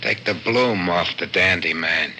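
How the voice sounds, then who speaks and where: Sharp, jeering gangland henchman. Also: low voice, unhurried, full character voice. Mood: callous jeering. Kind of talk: 1940s radio drama acting. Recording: noisy old-time radio recording.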